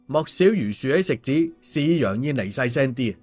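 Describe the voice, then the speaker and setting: A man's voice: medium pitch, animated, normal speed, a little mellow. Male storyteller over music. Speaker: audiobook narrator. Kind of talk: scripted story narration. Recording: music under the voice. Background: background music.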